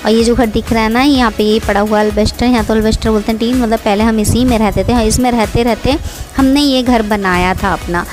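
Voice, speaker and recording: A woman's voice: fairly high pitch, fairly even intonation, brisk. Chatty middle-aged woman over background music. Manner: chatty, rambling, repeats herself often. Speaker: vlogger. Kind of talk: casual voice-over narration.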